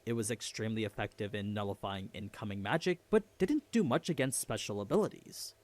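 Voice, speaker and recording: Man narrating with lively, swinging pitch. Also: fairly quick, a little hiss or hum. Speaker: narrator of an explainer video. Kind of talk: scripted narration.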